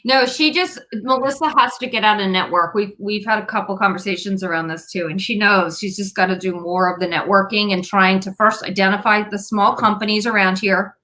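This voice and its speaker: A woman's voice: mid-range voice, steady tone, a little fast, few pauses, sharp tone. A woman with a Philadelphia accent, a guest on a live-streamed career Q&A, chatting in a friendly way and often repeating her first words. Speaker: guest co-host, company CEO. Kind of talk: casual live-stream chat.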